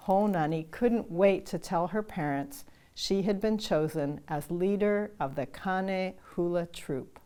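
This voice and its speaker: An older woman reading a children's picture book aloud, slow and measured, chanting the story's calls and repeated lines. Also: lowish voice, a little mellow. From Canada. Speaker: storytime reader.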